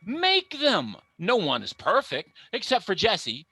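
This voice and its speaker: A man's voice: sits high, huge pitch swings, slow pace, pushed and bright, slightly rough, no echo. A man encouraging learners, emphatic and playful.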